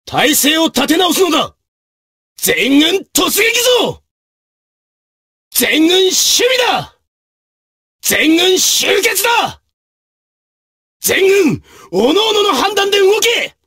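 Samurai officer, video game, gruff and husky, sharp forceful edge, slow deliberate declamation, martial, old-fashioned warrior speech. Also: male voice, very high-pitched, very animated, full character voice. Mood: rousing battle cries. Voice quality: gruff, raspy. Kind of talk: theatrical samurai battle barks, archaic period speech.

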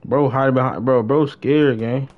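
A man's voice: medium pitch, fairly even intonation, normal speed. A young American man chatting casually on a gaming livestream in heavy slang, often repeating his phrases. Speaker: live streamer. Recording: dull, noisy game stream audio.

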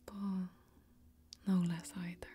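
A young woman playing a wry, hospitable fantasy warrior who keeps a tavern, speaking in hushed, drawn-out ASMR role-play. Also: lightly acted. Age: young adult. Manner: hushed, slow, drawn-out storytelling. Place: small indoor set, close up. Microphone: close microphone.

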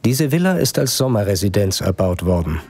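A man giving calm, measured scripted narration for a documentary voice-over. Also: on the low side, husky. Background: music under the narration.

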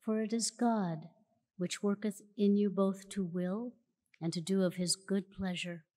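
Woman reading scripture real slow and solemn at a lectern, big church echo. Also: medium pitch, steady tone, darkish tone. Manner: measured, solemn delivery. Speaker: church reader. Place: large church auditorium. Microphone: lectern mic from a far camera. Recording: long church hall reverb.

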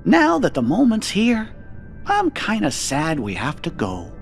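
A man's voice: high voice, huge pitch swings, a little slow, gravelly, exaggerated voice. A man voicing a tiny, genteel, wistful cricket in a cartoon-style video game, speaking politely. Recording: game music underneath.

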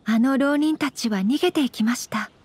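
A woman's voice: high voice, normal speed, darkish tone, a little hiss or hum, close to an everyday voice. A woman playing a poised young noblewoman and swordswoman in an anime game, formal, composed and very polite. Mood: gentle, courteous. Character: poised, courteous young noblewoman swordswoman, gentle but firm. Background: background music.

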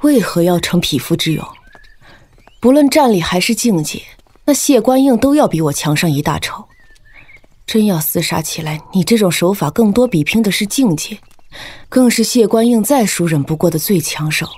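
An actor playing a calm, reproving martial-arts companion in an audio drama, stern and husky. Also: medium pitch, moderate pace.

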